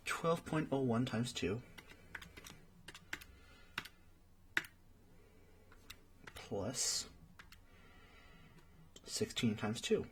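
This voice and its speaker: A man's voice: medium pitch, lively intonation, takes it slowly, a little hiss or hum. A man playing a friendly young friend in an ASMR roleplay, patiently tutoring and thinking aloud in a hesitant way. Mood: concentrating, unsure. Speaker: study partner.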